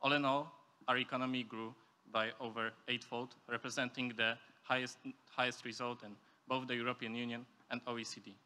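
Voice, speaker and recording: A man's voice: mid-range voice, level tone, fairly quick, sharp tone, smooth. A young man from Poland delivers a prepared, formal and structured speech as a student speaker. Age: young adult. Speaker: student speaker at a youth forum.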